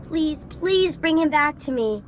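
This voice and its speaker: A woman voicing a frightened, pleading child in a video game, timid and halting in her delivery. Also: high voice, lively intonation, noisy background. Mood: hopeful, pleading. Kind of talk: video game character acting.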